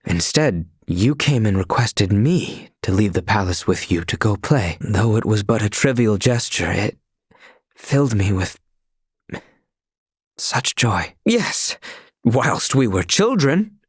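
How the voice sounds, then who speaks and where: Casting: a loyal young royal knight for a game, expressive range, soft husky edge, dry close sound, ornate old-fashioned diction. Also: male voice, fairly low pitch, takes it slowly, dry recording, heavily acted. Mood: tender nostalgia. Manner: formal, archaic, flowery courtly diction. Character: devoted young male knight serving a royal, earnest and courtly. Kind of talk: video game voice acting.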